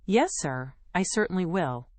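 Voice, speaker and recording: A woman's voice: on the low side, animated, measured pace, a little mellow, dry recording. A woman voicing a humble, caring father in a storybook, earnest and plain-spoken. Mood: agreeable. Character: hard-working, caring father, humble and loving.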